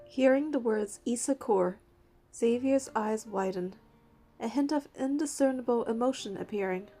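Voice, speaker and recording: An earnest young heroine of a story game, with a fairly high, softly mellow voice. She speaks and narrates as a determined hunter, with music underneath. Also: moderate pace. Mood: tense, serious. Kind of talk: scripted game dialogue and first-person narration.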